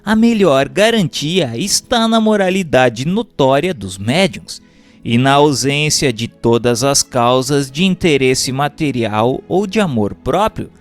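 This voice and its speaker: A Brazilian man reads a formal text as a scripted narration, in a measured and carefully phrased manner. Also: mid-range voice, lively intonation, soft background music. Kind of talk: scripted audiobook-style reading of a doctrinal text.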